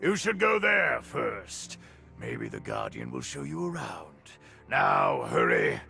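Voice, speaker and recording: Gravelly, swaggering resistance fighter. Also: male voice, on the low side, huge pitch swings, takes it slowly, full character voice. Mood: commanding. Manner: loud, theatrical, swaggering. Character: brash, boisterous resistance leader who relishes a fight. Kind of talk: video game voice acting. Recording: music under the voice.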